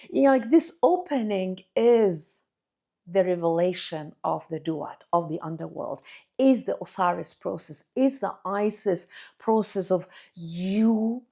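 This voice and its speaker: A woman, an Arabic speaker, talks straight to her viewers in a rolling, incantatory spiritual monologue. Her voice sits at a middling pitch for a woman. She stacks phrases one after another and leans on "you know, like" as she goes. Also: vocal fry, a little mellow. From Egypt. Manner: effusive and incantatory, addresses listeners as beloved, many "you know, like" fillers. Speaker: spiritual teacher and channel host.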